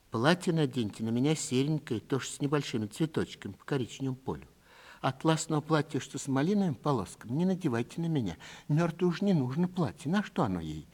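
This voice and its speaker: A man voicing a gentle, resigned old woman who speaks quietly and earnestly in a literary story. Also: medium pitch, natural voice. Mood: solemn, earnest. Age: older.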